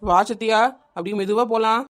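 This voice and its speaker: A woman playing a brave young village aunt who talks quickly and reassuringly in an amateur audio drama. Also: mid-range voice, steady tone, a bit bright, close to an everyday voice. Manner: reassuring, brisk, fast-talking.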